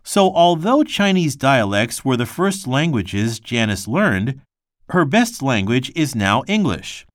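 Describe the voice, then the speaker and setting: A man reading a textbook passage aloud in a measured, scripted way. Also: medium pitch, very animated, no echo, studio quality. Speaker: textbook reader.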